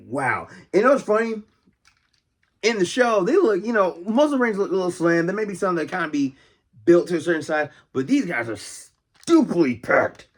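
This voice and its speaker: Casual, enthusiastic man rambling to viewers. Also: medium pitch. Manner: rambling, enthusiastic, exclaiming over details. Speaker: host of a toy collecting video series. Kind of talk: casual off-the-cuff toy show-and-tell.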